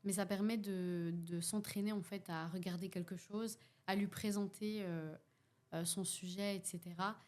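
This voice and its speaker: A woman's voice: mid-range voice, fairly even intonation, normal speed, darkish tone. A young woman with a Belgian French accent in a casual podcast discussion, speaking hesitantly with many fillers and restarts. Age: young adult. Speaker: podcast participant, youth workshop facilitator.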